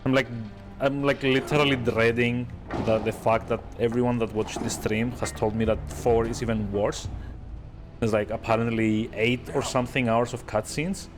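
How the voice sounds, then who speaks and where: Dude streaming a game, sardonic n fed up, casual chat, mid voice, faint music behind. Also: male voice, mid-range voice, fairly even intonation, normal speed. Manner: sardonic, exasperated. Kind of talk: casual live game commentary.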